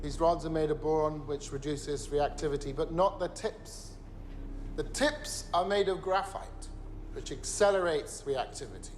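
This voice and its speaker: A man acting as a grave, bitter nuclear scientist testifying at a trial in a screen drama, measured and emphatic as he explains. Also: fairly high pitch, slight background noise, natural voice. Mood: grave, urgent.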